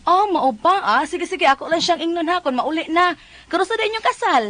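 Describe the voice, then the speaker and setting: A woman's voice: very high voice, animated, normal speed, heavy background noise. A woman playing a nagging councilor's wife with a Cebuano accent in a comedic radio drama. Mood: chatty, prodding. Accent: Cebuano Filipino.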